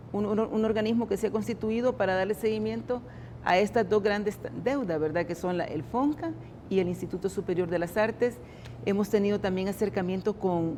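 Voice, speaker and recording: A woman speaks as a government official in a studio interview. Her voice is mellow and unhurried, and she stops and restarts her sentences often. A faint noise sits under the recording. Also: mid-range voice. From El Salvador. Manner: halting, many restarts and repeated words. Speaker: government culture secretary, interview guest. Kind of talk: interview answers.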